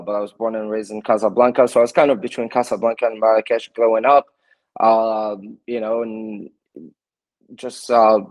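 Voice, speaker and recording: A man's voice: on the low side, darkish tone, no echo, rough recording. A man from Morocco, a soccer coach, answering interview questions casually and linking his thoughts with "and so". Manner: strings thoughts together with "and so".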